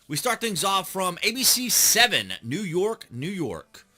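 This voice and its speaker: American guy doing casual news commentary, really bright crisp-edged voice, lots of up and down, stresses key words. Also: sits high, very animated, measured pace, faint music behind. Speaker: host of a news commentary channel.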